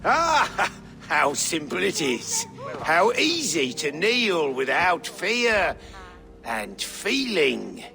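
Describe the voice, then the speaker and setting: A man's voice: on the high side, very animated, extremely slow, creaky, heavily acted. An actor playing a proud deposed Saxon king with a theatrical, sardonic and craven manner in a video game. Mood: bitter mockery.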